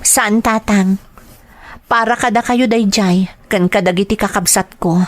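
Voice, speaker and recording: A woman's voice: medium pitch, steady tone, unhurried, natural voice. A woman with a Filipino accent playing a dutiful, earnest grown daughter in a radio drama. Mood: reassuring. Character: dutiful, grieving grown daughter telling her story. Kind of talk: radio drama acting, slow narration.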